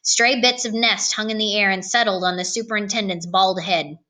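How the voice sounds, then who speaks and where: A woman reading a novel aloud in an expressive storytelling style. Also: mid-range voice, moderate pace. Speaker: storybook reader.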